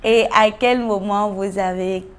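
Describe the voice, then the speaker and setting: A woman's voice: medium pitch, steady tone, unhurried, clear, resonant tone. A woman hosting an interview show, introducing her guest and asking questions in a formal, welcoming way. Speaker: host.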